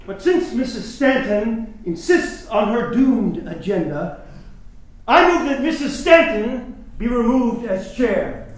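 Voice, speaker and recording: Haughty, very high-pitched man. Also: lively intonation, slow, exaggerated voice. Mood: domineering. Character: haughty, scornful male opponent of suffrage leaders. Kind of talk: staged play reading. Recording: echoey theater, faint noise.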